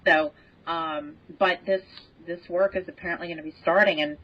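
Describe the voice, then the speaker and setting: A woman with a slightly low voice talks as an expert guest. She explains in a conversational way, over dull, lo-fi audio. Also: fairly even intonation, normal speed. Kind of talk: casual explanatory discussion.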